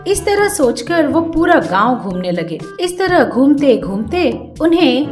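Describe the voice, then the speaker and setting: High-pitched, animated woman storyteller. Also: a little slow, faint music behind. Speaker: story narrator. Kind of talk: expressive scripted fairy-tale narration.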